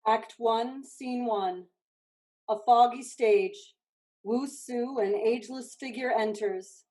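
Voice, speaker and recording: A woman reading stage directions as measured, scripted narration for a staged play reading. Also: a little high, darkish tone, rough recording.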